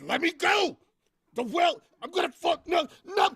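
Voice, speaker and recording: A man's voice: very high-pitched, very animated, measured pace, fairly bright tone, no echo, full character voice. A man playing a villainous, cowardly property agent in a melodramatic 1940s-style horror radio play. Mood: terrified. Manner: melodramatic, stammering. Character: menacing male property agent turned panicked, cowardly villain.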